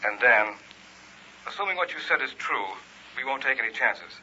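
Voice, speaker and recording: An actor in an old-time radio drama playing a concerned, measured doctor who advises a friend. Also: male voice, mid-range voice, expressive, sharp tone. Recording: muffled, noisy vintage radio recording.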